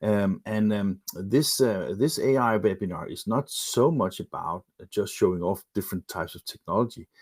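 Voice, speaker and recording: A Danish-accented man gives a lecture-style webinar in a low voice. He pauses often and restarts his sentences. The sound is dry and close to the mic. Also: darkish tone, husky, dry recording. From Denmark. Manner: hesitant, many fillers and restarts. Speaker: webinar presenter, magazine editor.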